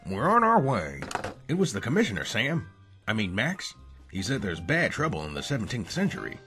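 A man's voice: lowish voice, wildly swooping pitch, normal speed, pushed and bright, recorded from a distance, full character voice. A man playing a wry, wordy, unflappable dog detective in a comic dub, with music behind.

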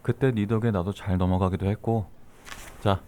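A man voicing a gruff, fatherly middle-aged department boss in a webtoon audio drama. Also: lowish voice, steady tone, normal speed, dark tone, lightly acted. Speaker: voice actor. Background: background music.

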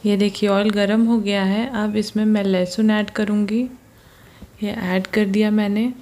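A woman's voice: mid-range voice, flat delivery, mellow. A woman hosting a home cooking channel, patiently narrating each step of a recipe and often pointing things out to the viewer. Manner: slow, patient, repeats "ye dekhiye". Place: home kitchen.

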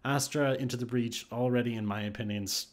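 A man's voice: mid-range voice, fairly even intonation, brisk. A man giving casual, thinking-aloud commentary on game patch notes, with restarts and hesitations. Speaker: gaming YouTuber. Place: voice-over on screen capture.

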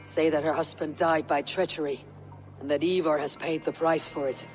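An actor playing a grim, blunt Viking raider in a game cutscene. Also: male voice, fairly high pitch, steady tone, faint music behind, close to an everyday voice. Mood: steady. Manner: blunt, measured. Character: stern male Viking raider, grim and steady. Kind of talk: video game cutscene acting.

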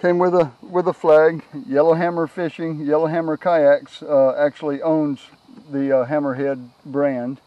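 A middle-aged man giving a casual, unscripted product walkthrough outdoors on a camera mic, halting and repeating words as he goes. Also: a little high, flat delivery, dull audio, a little hiss or hum. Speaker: product reviewer, kayak owner. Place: outdoors on a grassy yard. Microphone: handheld camera's built-in microphone.

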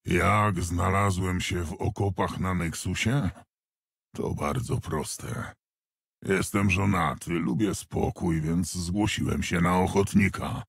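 Game orc warchief: very gravelly, unhurried delivery, proud, gruff, deadpan authority. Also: male voice, sits low, no echo, studio quality, heavily acted. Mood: wry. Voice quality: gravelly, husky. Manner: commanding, deadpan, sardonic. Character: gruff male orc warchief, proud, wry and battle-hardened. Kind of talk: scripted video game hero voice lines.

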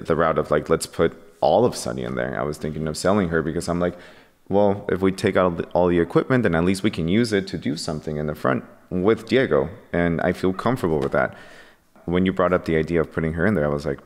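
A man with a very low voice tells his story and puts on his own earlier words as he goes. He sits at a boom-arm mic in a podcast studio, and the room leaves a long reverb tail. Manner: animated, acts out his own past lines. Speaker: podcast guest, coffee company founder. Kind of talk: casual podcast conversation. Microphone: boom-arm studio microphone.

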